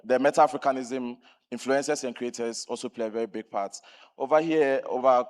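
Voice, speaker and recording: A man from Ghana speaks from the floor of a large conference hall with a handheld mic. His voice sits at an ordinary pitch, and he makes his point earnestly and keenly. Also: fairly even intonation, fairly quick. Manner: earnest, enthusiastic. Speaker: audience member. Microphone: handheld microphone.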